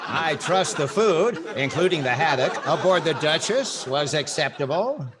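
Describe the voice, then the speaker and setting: A man's voice: on the high side, expressive, unhurried, a crowd in the background. An older man playing a wry, inquisitive comedy host in 1940s radio style, echoing lines as straight man. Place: indoors on a video call.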